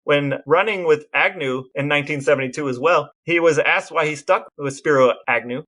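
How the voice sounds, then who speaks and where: A man co-hosting a podcast, telling historical anecdotes in a casual, animated storytelling manner. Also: on the high side, fairly quick. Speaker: podcast co-host.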